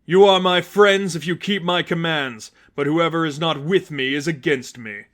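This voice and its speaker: A man's voice: fairly high pitch, normal speed, a bit bright, voice pushed up, close to an everyday voice. Man as a smug, pompous zealot villain. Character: smug, menacing male masked zealot villain, self-righteous and cold.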